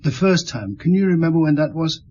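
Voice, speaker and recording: Calm, husky police inspector. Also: male voice, a little high, dull audio. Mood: gently probing. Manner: unhurried, reassuring questioning with thoughtful murmurs. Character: calm, gentle, probing male French police inspector. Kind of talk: radio drama acting.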